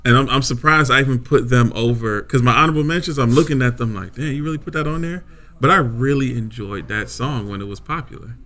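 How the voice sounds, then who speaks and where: Co-host type: deep-voiced man, relaxed and joking, crisp bright tone. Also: fast talker. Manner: relaxed, joking, swears freely. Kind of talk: casual chat.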